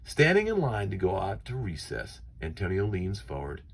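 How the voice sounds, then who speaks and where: A man reading a children's story aloud in an expressive, dramatic way with deliberate phrasing. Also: fairly low pitch, breathy edge. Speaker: storybook reader.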